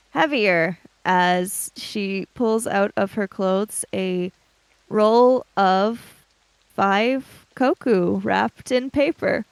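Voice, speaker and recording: A woman playing in a tabletop role-playing game, chatting casually and narrating her character, sometimes haltingly. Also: mid-range voice, vocal fry, dry recording. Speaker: tabletop role-play player.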